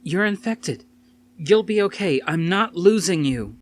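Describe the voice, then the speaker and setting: A man's voice: high voice, expressive, unhurried, voice pushed up. A man voicing a frantic, defiant young action heroine in an audiobook, with urgent, emphatic cries. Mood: desperate.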